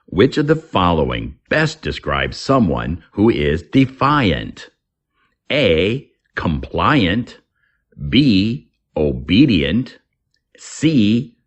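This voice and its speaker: A man reading an English lesson aloud in a deliberate, instructional way, as a teacher does. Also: low-pitched, muffled recording.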